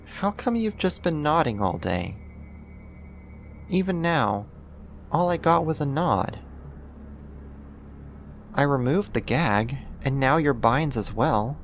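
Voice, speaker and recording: A performer voicing a possessive yandere neighbor turned kidnapper in an intimate ASMR roleplay, coaxing and doting with a quiet menace and deliberate pauses. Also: medium pitch, sing-song, slight background noise. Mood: doting, controlling.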